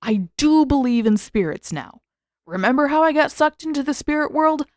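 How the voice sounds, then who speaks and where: A woman plays a brash teenage boy in a story reading. He talks bluntly and emphatically, with lively swings in pitch and a sarcastic, defensive edge. The recording is dry and close. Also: normal speed, no echo. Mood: indignant, defensive. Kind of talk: fan fiction audiobook dialogue.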